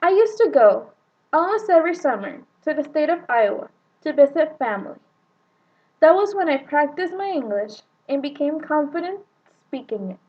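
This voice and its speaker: Young mexican woman w/ a bit of texan in her accent, high voice, kinda muffled lo-fi recording, reads it carefully. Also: sing-song, normal speed. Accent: Mexican Spanish with a Texan touch. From northern Mexico. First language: Spanish. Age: young adult. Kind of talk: prepared personal monologue.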